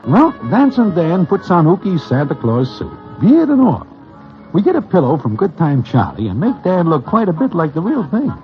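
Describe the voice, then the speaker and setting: A high-pitched New sidekick, wary and loyal, with very swooping intonation. He tells his tale in stiff, formal wording, in old radio drama fashion, on a muffled recording. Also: normal speed, soft background music. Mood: storytelling. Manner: formal wording without contractions, deadpan storytelling. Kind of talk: vintage radio drama acting and narration.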